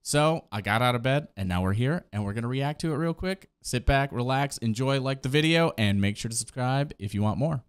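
A man's voice: mid-range voice, expressive, a little fast, few pauses, clear, resonant tone, no room sound. A man in his thirties giving enthusiastic, slangy reaction commentary, casual, close on a desk microphone at home. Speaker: streamer and reaction host. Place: small home gaming room. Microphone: close desk microphone on boom arm. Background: music.

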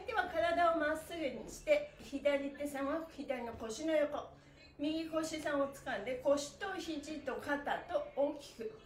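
A middle-aged woman leading a guided exercise class in an upbeat, encouraging, singsong manner. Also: sits high. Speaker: stretching instructor. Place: small indoor room on exercise mat. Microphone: camera a few meters away. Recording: echoey room, distant camera mic.

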